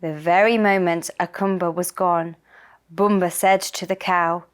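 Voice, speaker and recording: A woman narrating a children's puppet-show story in simple, deliberate phrases. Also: lowish voice, fairly even intonation, clean tone.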